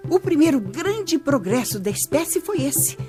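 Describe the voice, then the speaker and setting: An actress playing a kindly older Brazilian grandmother who teaches through stories in a children's radio drama, measured and expressive. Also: high-pitched. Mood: patient, instructive. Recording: music bed under voice.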